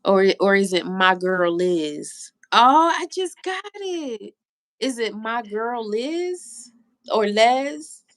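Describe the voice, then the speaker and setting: A woman hosting a live stream with a stuffy, congested voice. Her tone has a gravelly, fry-edged texture. She chats loosely and talks straight to her viewers, reading their comments as they come in. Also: on the high side, sing-song, slow pace, a bit bright, no echo, clean studio sound. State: stuffy nose. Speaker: livestream host. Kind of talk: casual live stream chat.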